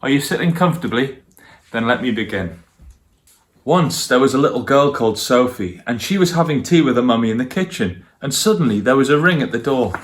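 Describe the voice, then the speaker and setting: A man's voice: mid-range voice, expressive, a little fast. Man reading a children's storybook. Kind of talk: children's storybook reading and friendly address to young listeners.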